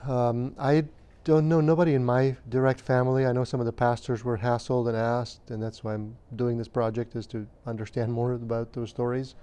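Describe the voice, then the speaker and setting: A man in his fifties from Romania, recalling his childhood in an interview at a measured, hesitant pace with frequent pauses and fillers. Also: medium pitch, little pitch movement, warm tone, smooth. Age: 50s. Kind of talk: recounting childhood memories.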